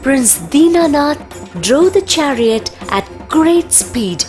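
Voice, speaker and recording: Slow, animated woman storyteller. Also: sits high, soft background music. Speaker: narrator. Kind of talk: scripted children's story narration.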